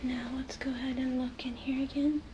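A young woman in a whispery, breathy voice, gently and haltingly guiding the listener through an ASMR role play. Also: high-pitched, flat delivery, background noise. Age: young adult.

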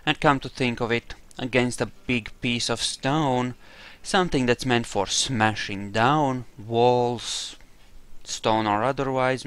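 A man with a clear, smooth, mid-pitched voice talks through a video game. He muses aloud casually, recorded dry and close. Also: a bit bright, no echo. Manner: musing, thinking aloud. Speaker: gamer playing a role-play playthrough. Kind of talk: casual gameplay commentary.

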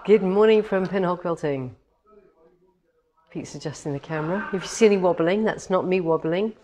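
Woman, hoarse from a cold, low voice, chatty and rambling, big indoor showroom, camera a few metres off. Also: fairly quick, warm tone, slight background noise. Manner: chatty and cheerful, rambling with many ums. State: head cold, slightly hoarse. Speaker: quilting shop owner hosting a live demo. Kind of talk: casual live-stream chat. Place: large quilting showroom with longarm machines, indoors. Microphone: camera on a tripod a few metres away.

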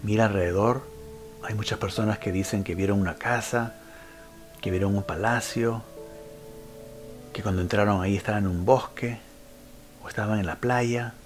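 A man leading a guided meditation, giving instructions in short phrases with frequent pauses. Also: fairly low pitch, steady tone. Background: background music.

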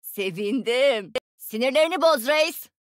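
A bright-minded, smug young woman inventor from a video game. Her voice sits high and jumps about with lively, teasing expression. The sound is dry, with no room to it. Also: a little slow, no echo. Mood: teasing, confident. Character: cocky young female tech genius, playful and smug.

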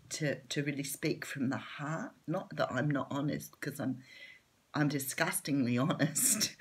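A middle-aged Australian woman with a hoarse, croaky voice, chatting casually as she reads tarot cards at home. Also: low-pitched. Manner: chatty, open, laughs lightly. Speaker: tarot reader. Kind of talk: casual tarot reading to camera. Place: home room at a table.

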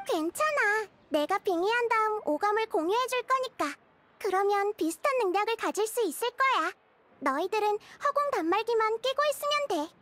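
A woman voicing a small, calm, wise girl deity in a video game, explaining things in a measured, gentle way. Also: extremely high pitch. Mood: gentle, reassuring. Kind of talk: video game cutscene acting. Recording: music under dialogue. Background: background music.

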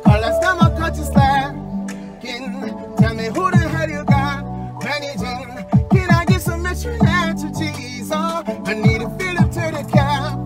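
A man placing a drawn-out prank order as a drive-thru customer, recorded in a car. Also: very high-pitched. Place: inside a car at night. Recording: distant car recording under loud music.

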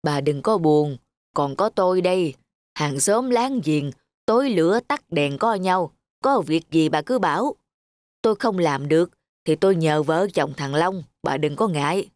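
A woman playing a kindly, lonely elderly grandmother in a cartoon, speaking in a gentle, sentimental manner. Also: low voice, animated, unhurried, no room sound. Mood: kind, comforting. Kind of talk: scripted cartoon dubbing. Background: background music.

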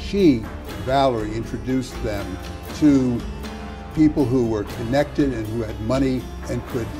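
A man in his sixties giving deliberate, matter-of-fact political commentary in a chat. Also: mid-range voice, music in the background. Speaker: interview guest. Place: study with bookshelves.

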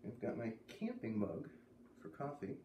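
A man in his thirties reading a story aloud with expressive narration, sitting indoors at a little distance from the camera. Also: medium pitch, normal speed. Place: living room indoors by a fireplace. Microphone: camera a few feet away. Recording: roomy camera sound, faint noise.

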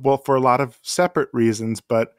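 A man's voice: medium pitch, steady tone, brisk, clear, resonant tone, no room sound, clean studio sound. A man, a playwright guest, talking casually and thoughtfully in an interview.

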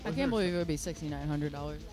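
A woman's voice: sits low, racing, music in the background. A woman co-hosting a casual live game stream, complaining and swearing freely. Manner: profane, complaining. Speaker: co-host.

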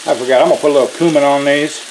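A man's voice: fairly high pitch, fairly even intonation, racing, rough recording. A man hosting a casual home cooking video, talking in a folksy, easygoing way as he works at a griddle in his kitchen. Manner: folksy, easygoing, talks while working. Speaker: cooking channel host. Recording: loud sizzling griddle with hiss.

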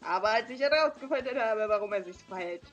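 Young female let's-play host, somewhat high voice, giggly, light music underneath. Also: animated, quick pace, a bit bright, lo-fi sound. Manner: giggly, reads lines playfully. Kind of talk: reading visual novel dialogue aloud with joking asides.

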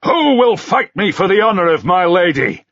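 A man's voice: mid-range voice, animated, a little slow, breathy edge, dry recording, lo-fi sound, pitched above the performer's own voice. A man voicing a bold, defiant knight. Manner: loud, fervent, shouting challenges.

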